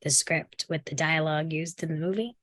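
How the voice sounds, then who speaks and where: A woman in a casual discussion, speaking in halting, measured phrases. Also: low-pitched, fairly even intonation, a bit bright, dry recording.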